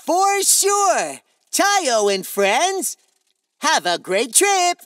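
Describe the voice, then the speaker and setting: Friendly green diesel engine. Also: female voice, high-pitched, big pitch swings, extremely slow, no room sound, exaggerated voice. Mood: kind. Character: friendly male diesel freight locomotive. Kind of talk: cartoon voice acting.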